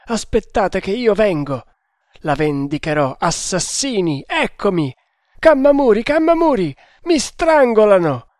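A man's voice: fairly high pitch, takes it slowly, no room sound, clean studio sound. A man voicing a delirious wounded hunter, frantic and menacing, in a theatrical raving manner for an adventure audiobook. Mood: panicked raving. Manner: raving, theatrical, swinging into shouts. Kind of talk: dramatic adventure audiobook reading.

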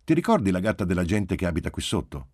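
A man's voice: low-pitched, very animated, fast talker, a little mellow, slightly rough, dry recording, clean studio sound, heavily acted. A man reading a story, voicing a willful, pouty little girl who talks with insistent, self-important emphasis. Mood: eager. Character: small girl, willful, pouty, bossy know-it-all.

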